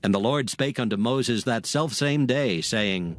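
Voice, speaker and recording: A man narrating scripture in a measured, expressive reading. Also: mid-range voice, a little hiss or hum. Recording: dry close mic, light music.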